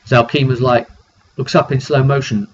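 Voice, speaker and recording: A man recounting a tabletop fantasy roleplay session as game master, casual and improvised, in a halting, informal way with some throat clearing. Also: medium pitch, little pitch movement. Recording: dull lo-fi audio with faint noise.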